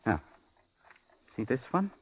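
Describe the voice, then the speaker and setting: An actor playing an unhinged, menacing captor with a childishly boastful, politely threatening manner in a 1950s radio thriller. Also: male voice, slow, lightly acted. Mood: childish pride. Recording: dull, faintly noisy 1950s radio recording.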